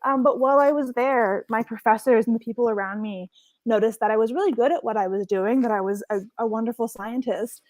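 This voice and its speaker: A young woman from Hawaii talks through a casual online workshop as a marine scientist. She is chatty and enthusiastic, and her fairly high voice sounds close and dry, with no room around it. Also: a little fast, darkish tone, no room sound, poor audio. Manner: chatty, enthusiastic, laughs often.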